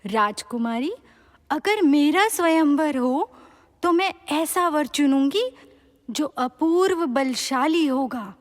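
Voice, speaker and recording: A romantic young handmaiden in a mythological audio drama. Her high voice moves slowly and dreamily through ornate phrases, with a long hall reverb around it. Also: lively intonation. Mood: dreamy.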